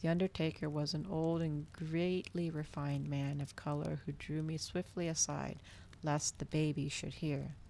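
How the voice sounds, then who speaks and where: A woman with a notably low voice reads fiction in a cozy ASMR style. Her delivery is soft, unhurried and dramatic, with plenty of gravelly fry at the edges. A faint noise sits behind the recording. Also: flat delivery. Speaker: streamer reading a novel aloud. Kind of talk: cozy ASMR storybook narration.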